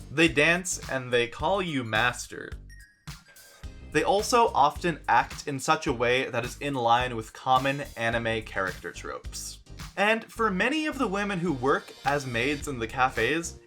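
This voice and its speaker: A young man telling a travel story in a casual vlog, animated and joking, with dry asides. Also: fairly high pitch. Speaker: vlogger.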